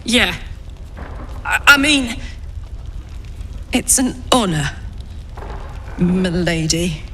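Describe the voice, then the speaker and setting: Actress as a slow, lively young warrior woman. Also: lowish voice, slight background noise, full character voice. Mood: starstruck. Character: excitable, starstruck young tough woman warrior from the outer city. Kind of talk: voiced fantasy game drama, narration and dialogue. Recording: music behind the voice. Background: music.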